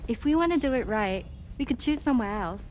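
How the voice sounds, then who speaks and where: A woman's voice: on the high side, animated, quick pace, darkish tone, lightly acted. A woman voicing a playful, sweet young female companion in a video game cutscene. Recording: noisy ambient background.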